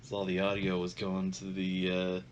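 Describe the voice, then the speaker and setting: A man's voice: low-pitched, completely flat delivery, moderate pace, slight background noise. A tired man with a congested, nasal voice, rambling casually through gameplay commentary on a headset mic. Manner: rambling, many filler sounds, self-deprecating. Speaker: gaming channel host. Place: home bedroom. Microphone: gaming headset. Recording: clear keyboard clicking behind. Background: keyboard and mouse clicks.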